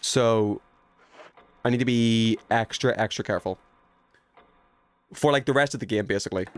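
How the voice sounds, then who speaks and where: A man's voice: lowish voice, moderate pace. A young adult man livestreaming a video game, chatting casually and playfully with his viewers, teasing and swearing lightly. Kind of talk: casual chat while playing a horror game, reading and answering chat.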